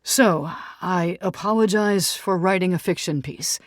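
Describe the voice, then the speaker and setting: A woman's voice: lowish voice, measured pace, no echo, studio quality, lightly acted. A woman reading an audiobook, voicing a defensive, pleading male skeptic writer who argues earnestly. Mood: apologetic, earnest. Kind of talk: audiobook character dialogue.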